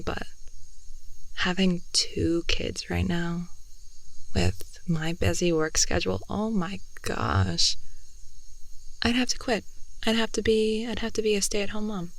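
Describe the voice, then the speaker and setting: A woman's voice: lowish voice, vocal fry, no echo, rough recording. A young woman playing a chatty, affectionate girlfriend in an improvised ASMR roleplay, rambling in a gossipy, intimate way close to the mic. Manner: rambling, gossipy, giggly, often dropping into soft whispers. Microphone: close headphone-style 3D ASMR microphone.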